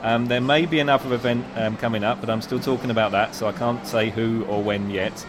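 A man's voice: medium pitch, steady tone, fairly quick. A man managing a bookshop, talking politely and a little hesitantly in a casual interview. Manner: polite, slightly hesitant with fillers. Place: busy shopping centre store. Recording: noisy public space.

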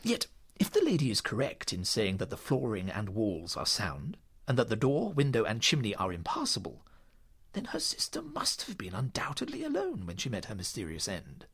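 Earnest narrator-companion, played by a man in detective audio drama. Expressive storytelling, sharp tone, dramatic swoops. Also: lowish voice, normal speed, husky, heavily acted. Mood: sombre, doubtful.

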